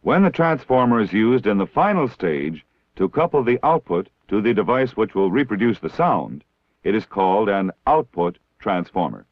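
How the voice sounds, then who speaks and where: An American man reading scripted instructional narration in a measured, formal, didactic way, like a 1960s military training film. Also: fairly low pitch, big pitch swings, no room sound. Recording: dull, lo-fi 1960s film soundtrack.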